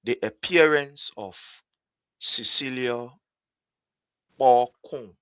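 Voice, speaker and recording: A man's voice: mid-range voice, very slow, bright, forceful tone, smooth, no room sound, lo-fi sound. A man hosting a live political talk show.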